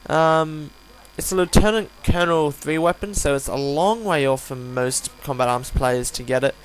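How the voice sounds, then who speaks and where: A tired man with a croaky voice gives casual, unscripted game commentary, rambling and hesitant as he reviews. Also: on the high side, moderate pace, rough recording. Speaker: gaming video host reviewing a weapon. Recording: home recording with audible hum, background noise.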